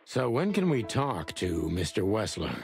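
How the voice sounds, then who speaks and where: An actor playing a polite, wry bird detective in a film-noir game, with a courteous way of talking. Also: male voice, fairly low pitch, sing-song, unhurried, creaky, over music, full character voice.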